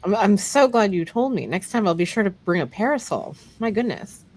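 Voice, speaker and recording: A woman's voice: mid-range voice, very animated, a little fast, darkish tone, low-quality recording. A woman playing a polite, genteel young noblewoman with a prim, refined courtesy. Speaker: player.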